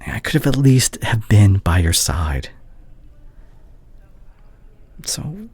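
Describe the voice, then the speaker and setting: A man playing a heartbroken, devoted young doctor ex-boyfriend in an intimate audio roleplay, hushed and halting, often slipping toward a whisper. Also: lowish voice, very animated, slightly rough, no echo, exaggerated voice. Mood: anguished, pleading. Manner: halting, emotional, confessional.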